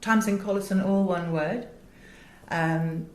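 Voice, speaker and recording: A woman with an English accent talking as an interview guest, chatty and expressive, often breaking off and restarting. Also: medium pitch, takes it slowly. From England. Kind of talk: animated interview conversation. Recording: echoey room.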